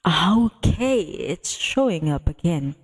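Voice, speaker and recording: A woman hosts a casual game stream with music playing behind her. Her voice sits a little low and moves at a very slow pace. She is giggly and quick to exclaim. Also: animated, husky. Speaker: livestreamer host. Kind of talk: casual livestream chat while setting up and playing a visual novel. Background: background music.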